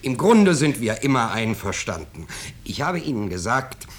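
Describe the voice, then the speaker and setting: An actor playing a smug, anxious middle-aged businessman in a 1950s radio drama, husky and insistently defending himself. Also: medium pitch, sing-song, normal speed. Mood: defensive, blustering. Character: smug, self-justifying, anxious bourgeois businessman.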